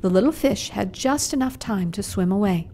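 A woman narrates a children's puppet show as storyteller. Her mid-pitched voice is measured and expressive, with faint background noise.

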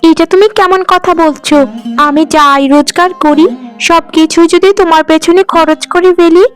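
A woman voicing an irritable, sharp-tongued young seamstress daughter in a cartoon, scolding and complaining. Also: extremely high pitch, steady tone, normal speed. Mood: irritated, scolding. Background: faint music.

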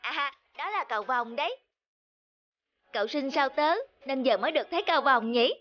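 A plump young cartoon sheep with a high voice. The sheep is timid and gossipy, speaking earnestly like a cautioning friend. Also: female voice, expressive, normal speed, lo-fi sound. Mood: explaining. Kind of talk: children's cartoon dubbing.

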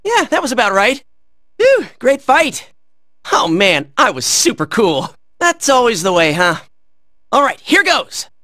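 An American man playing a cocky, excitable teenage boy in a video game, barking out brash, high-strung battle lines. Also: measured pace, no echo, studio quality, full character voice. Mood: breezy, self-satisfied.

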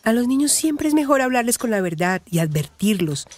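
A caring Colombian adviser, fairly high voice. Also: female voice, big pitch swings, brisk, a little mellow. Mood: firm, earnest. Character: caring, level-headed woman friend who advises mothers. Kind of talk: radio soap opera acting.

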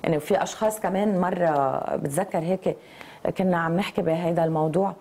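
A woman's voice: fairly low pitch, fast talker, warm tone, a little hiss or hum. A woman in her forties with a Lebanese Arabic accent, hosting a conversational television interview. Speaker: TV host. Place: TV studio.

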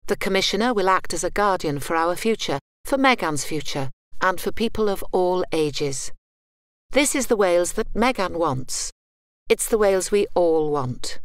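A woman giving calm, measured scripted narration for a public information video. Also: lowish voice, no echo, studio quality.

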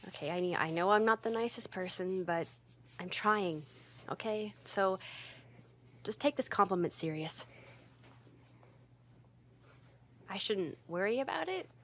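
A woman's voice: medium pitch, expressive, moderate pace, fairly bright tone. A woman playing a flustered, easily embarrassed tsundere roommate in an ASMR roleplay, stammering and defensive but secretly fond. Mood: softened, awkward. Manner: stammering, defensive, huffy, hesitant.

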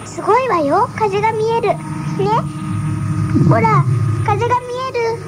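A woman's voice: very high voice, unhurried. An actress voicing an innocent little girl full of wonder in a radio drama. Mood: excited. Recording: 1980s radio recording with background noise.

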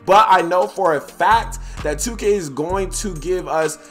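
A man's voice: high voice, measured pace, faint music behind. Stuffy-nosed young man hyping gameplay. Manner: hyped, slangy, talks straight to viewers. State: stuffy nose. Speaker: gaming YouTuber. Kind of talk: gameplay commentary.

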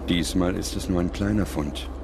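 A man reads scripted documentary narration in a measured, serious manner. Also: deep voice, gravelly, background noise. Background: music.

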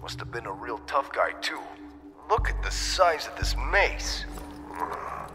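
An actor playing a cocky, wisecracking soldier in an audio drama, full of mocking, slangy banter. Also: male voice, mid-range voice, big pitch swings, unhurried, vocal fry. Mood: flippant. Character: cocky, teasing soldier, a wisecracking demolitions man. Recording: long hall reverb, music underneath.

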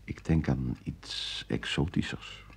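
A man playing an uneasy, evasive homebody husband in a Dutch radio play, speaking haltingly. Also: a bass voice, creaky, full character voice. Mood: persuading. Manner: hesitant, halting. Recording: noisy old radio play recording.

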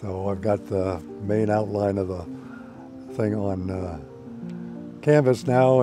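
An older man, a painter, casually explaining his work outdoors to a camera nearby. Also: fairly low pitch. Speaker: painter being interviewed. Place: outdoors on a lawn. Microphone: camera a few feet away. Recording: background music over outdoor voice.

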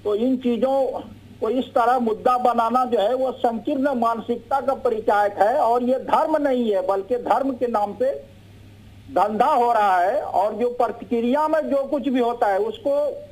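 A man's voice: extremely high pitch, measured pace, darkish tone. A man speaking as a cleric and debate guest on a phone call, explaining his points with repeated filler phrases.

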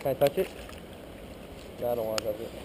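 A man fishing at a creek outdoors, chatting in an excitable, squeamish way, recorded on an action camera. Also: medium pitch, heavy background noise. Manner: excitable, squeamish, quick to laugh. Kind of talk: casual chat while fishing.

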